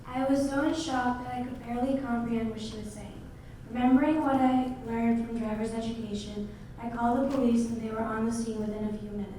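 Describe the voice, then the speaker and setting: A teenage girl reading her essay aloud quickly. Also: on the high side, steady tone, mellow, slight background noise. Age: teens. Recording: echoey room from far off.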